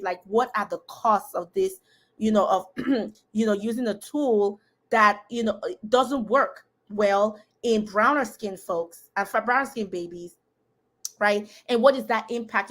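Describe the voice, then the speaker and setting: A woman's voice: mid-range voice, a bit bright. A woman hosting a live discussion, talking casually and emphatically, laughing often and addressing her audience directly. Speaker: host.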